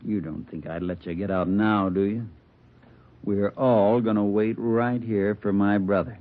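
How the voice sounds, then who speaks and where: A man's voice: steady tone, measured pace, natural voice. An actor playing a crazed male bomber with an American accent, curt and bullying, in a 1940s radio crime drama. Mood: tense, brooding. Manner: curt, bullying, slangy commands. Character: crazed, menacing male criminal bomber holding police hostage. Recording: muffled, noisy 1940s radio recording, rumble.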